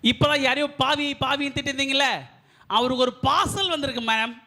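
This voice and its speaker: A man's voice: very high-pitched, fairly even intonation, brisk, sharp tone. A man voicing a cheeky parcel delivery man in a live puppet show, teasing and pushy.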